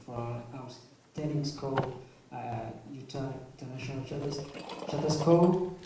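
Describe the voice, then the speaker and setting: A nineteen-year-old man raised in Rwanda, a non-native English speaker, introducing himself haltingly and apologetically as a student speaker. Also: mid-range voice, background noise. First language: not English. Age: young adult. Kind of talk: hesitant personal introduction.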